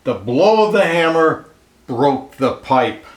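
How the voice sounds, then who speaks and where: Guy reading a kids book out loud, takes his time, really up and down voice, asks little questions, bit echoey. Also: a little high. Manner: expressive, pausing to point out pictures and ask questions. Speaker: storybook reader. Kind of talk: read-aloud of a children's picture book with asides to listeners. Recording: roomy, echoey indoor sound.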